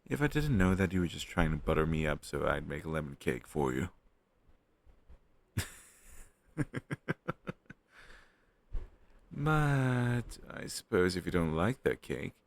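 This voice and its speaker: A man acting as a playful, teasing young best friend in a casual, expressive roleplay chatting directly to the listener. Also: low-pitched, vocal fry, full character voice. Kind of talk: roleplay audio drama, intimate casual chat with listener.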